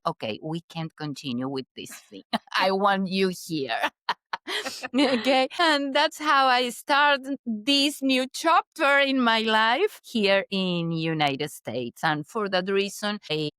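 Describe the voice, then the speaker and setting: Slow-speaking woman. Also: medium pitch, fairly bright tone, smooth, no room sound, clean studio sound. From Chile. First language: Spanish. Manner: careful, halting English with pauses and restarts. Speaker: guest, business consultant. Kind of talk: casual interview chat.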